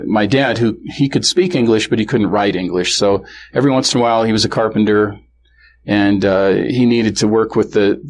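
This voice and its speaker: A man being interviewed, recalling childhood experiences candidly, halting with many fillers and restarts. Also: lowish voice, slight background noise. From grew up bilingual in a Norwegian family. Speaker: interview guest. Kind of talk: candid personal recollection.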